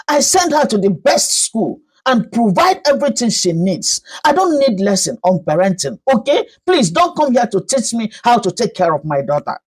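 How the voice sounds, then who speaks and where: A woman's voice: on the high side, very animated, normal speed, few pauses, slightly rough, pitched above the performer's own voice. A woman voicing a proud, irritable rich mother in a story, curt and haughty. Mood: cold, angry.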